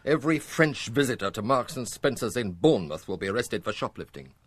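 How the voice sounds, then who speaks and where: Animated, sardonic police chief. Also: male voice, on the low side, husky, dry recording. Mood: sardonic, brisk. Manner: sardonic, measured authority. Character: cautious, wry senior French police commissaire. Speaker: actor in a radio drama. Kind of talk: naturalistic radio drama acting.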